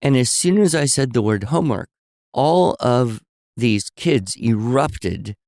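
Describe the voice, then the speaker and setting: A man co-hosting a podcast, telling stories in a relaxed conversational way and explaining with examples and asides. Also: medium pitch, takes it slowly, no echo, clean studio sound. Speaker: podcast co-host. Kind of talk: conversational storytelling.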